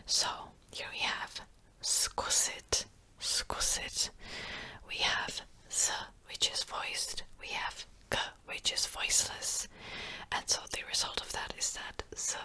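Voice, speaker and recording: A woman whispering softly and close to the mic as she gives a gentle language lesson, slowly repeating words. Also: low-quality recording. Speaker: language teacher.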